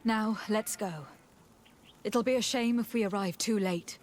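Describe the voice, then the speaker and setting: An actress playing a noble, principled young diplomat in a video game, speaking in a poised, formal and articulate way. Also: female voice, medium pitch, unhurried, slight background noise, natural voice. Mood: resolute, grateful.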